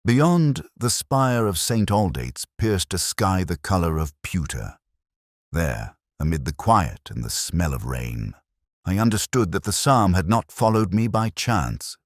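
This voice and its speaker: A man narrating a literary detective story in the first person as a world-weary inspector, measured, reflective and melancholy. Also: sits low, sing-song, no room sound, studio quality.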